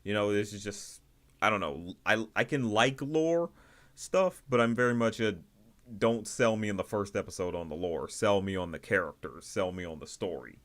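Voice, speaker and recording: Low-pitched male voice, livestream host, casual rambling commentary, halting, circles back over phrases. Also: animated, a bit bright. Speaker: livestream host reviewing indie animation.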